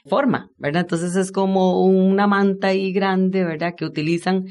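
A woman's voice: mid-range voice, fairly even intonation, normal speed, darkish tone. A woman with a Costa Rican accent, a historian being interviewed, explaining informally and didactically with frequent tag questions. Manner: didactic, often checks understanding with tag questions.

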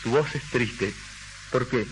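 A man's voice: medium pitch, slow, music in the background, lightly acted. An actor playing an insistent, probing man in an old radio drama, terse, formal and commanding. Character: insistent, probing man pressing a frightened woman. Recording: crackly, hissing old radio recording.

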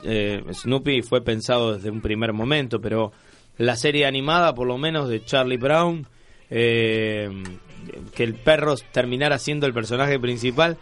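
A man's voice: mid-range voice, moderate pace, a bit bright. An Argentine man hosting a casual radio magazine show, chatting in a jokey, rambling way. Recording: music playing under the voice.

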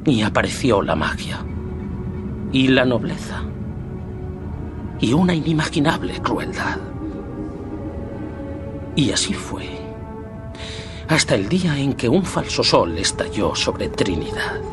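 Grave TV series narrator, slow, portentous, dark mythic tone, loud music behind. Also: male voice, medium pitch, very animated. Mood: solemn, ominous. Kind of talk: dramatic scripted narration, dubbed TV series.

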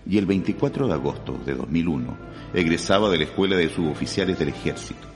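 Guy narrating a radio crime drama, really deep, serious, big reverb. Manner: solemn, storytelling. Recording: long reverb over prominent music.

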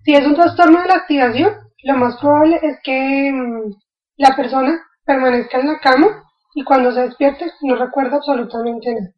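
A woman's voice: high voice, steady tone, moderate pace, lo-fi sound. A woman explaining a topic in an informal video lecture, thinking aloud with fillers and restarts. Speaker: educator.

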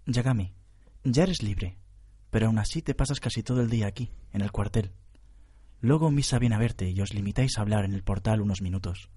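A man playing an odd, suspicious young detective in a radio drama, deadpan and deliberate. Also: lowish voice, slightly rough. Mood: curious, probing. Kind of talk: radio drama adaptation of anime.

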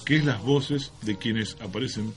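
A man's voice: on the low side, normal speed, music in the background. A man with an Argentine accent hosting a radio show in casual, unscripted chat. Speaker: radio host.

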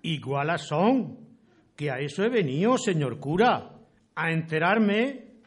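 Man playing a slow-talking rustic settler, higher-pitched. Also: very animated, breathy edge, exaggerated voice. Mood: curious, deferential. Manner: rustic, old-fashioned turns of phrase. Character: respectful, inquisitive rustic male settler seeking land. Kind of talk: amateur historical radio drama acting.

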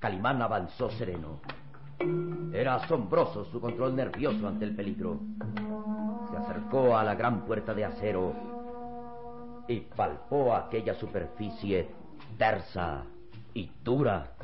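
A man's voice: on the low side, lively intonation, unhurried, pauses often. A man narrating a vintage adventure radio serial in a formal, dramatic storyteller's manner. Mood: solemn, dramatic. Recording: dull old radio recording with music.

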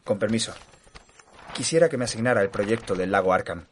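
A man acting as a curious, literary-minded government employee narrates an audio horror drama. His voice is lowish and his delivery formal and measured. Clear ambient noise sits behind him. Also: lively intonation, close to an everyday voice. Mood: polite, hesitant. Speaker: narrator and lead actor.

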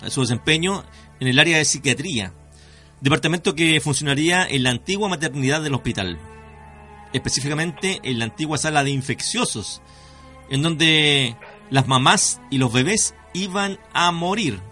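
A Chilean man hosting a conversational radio show on mysteries, speaking haltingly as he searches for words. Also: fairly high pitch, expressive, sharp tone. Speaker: radio host. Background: music.

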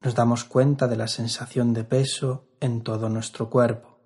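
A man leading a guided meditation as an instructor, calm and measured, with long pauses between phrases. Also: medium pitch, breathy edge.

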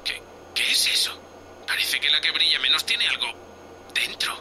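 A man's voice: fairly high pitch, animated, normal speed, gravelly, a little hiss or hum, heavily acted. A man from Spain, by his accent, voicing a jittery, timid young crewman who stammers anxiously in a sci-fi audio drama. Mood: uneasy, curious. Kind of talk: Spanish-language sci-fi audio drama acting. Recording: loud music bed behind voice.

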